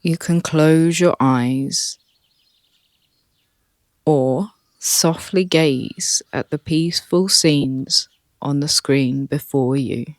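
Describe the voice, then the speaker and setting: A meditation guide speaking calmly and softly, leading a relaxation session with long pauses. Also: mid-range voice, no room sound. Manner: calm, soft, with long pauses.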